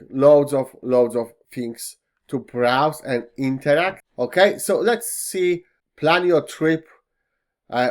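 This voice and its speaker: A man whose first language is Polish guides viewers through software at a slow, unhurried pace. His speech is full of fillers and slightly non-native phrasing. He speaks into a desktop mic at his computer. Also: a little high. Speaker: tech tutorial host. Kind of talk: screen-recorded software walkthrough.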